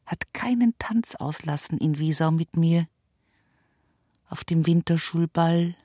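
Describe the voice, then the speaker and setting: A woman's voice: deep voice, takes it slowly, dark tone, no echo, studio quality. An actress playing an old, toil-worn rural mother with an Upper Palatinate Bavarian accent and a cracked voice, resigned and earthy, in a radio play. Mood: wry regret. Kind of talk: dramatic radio play monologue.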